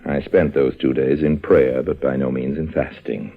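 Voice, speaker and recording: Urbane, wry con-man narrator, extremely low voice, 1950s radio acting, dull vintage recording. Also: level tone, moderate pace, breathy edge, full character voice. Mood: wry narrating. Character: suave, sardonic con-man narrator with dry wit. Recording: muffled old radio recording. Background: music.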